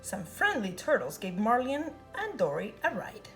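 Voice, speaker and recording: A woman reading a children's picture book aloud in an expressive, sing-song storytelling manner. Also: a little high, normal speed, soft background music, poor audio. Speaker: storybook reader.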